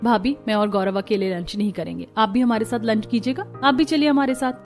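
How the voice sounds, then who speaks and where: A woman's voice: fairly high pitch, fairly quick, close to an everyday voice. Woman playing affectionate young mother-to-be, sweet, cartoon family drama, music behind. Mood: friendly.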